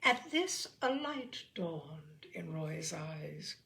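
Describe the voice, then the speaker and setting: A woman's voice: sits low, very animated, drawn-out words, gravelly, a bit bright. A woman reading a humorous short story aloud as narrator, with theatrical, emphatic phrasing.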